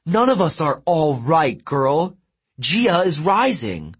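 A man's voice: on the high side, very animated, a little slow, breathy edge, pitched above the performer's own voice, full character voice. A man voicing a vain, melodramatic male sun god who wails and pities himself. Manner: wailing, theatrical and self-pitying.

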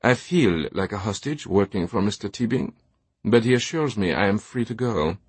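A man's voice: fairly low pitch, lively intonation, normal speed, husky, no echo. A man voicing a dutiful manservant in an audiobook, deferential and dryly wry. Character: dry, dutiful manservant to a wealthy knight.

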